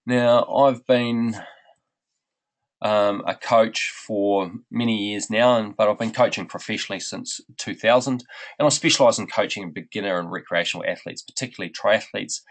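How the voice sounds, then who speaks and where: Low gravelly New Zealand man, blocked up. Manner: friendly, unhurried, with frequent ums and uhs. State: blocked up with a head cold. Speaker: running coach. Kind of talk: webinar presentation.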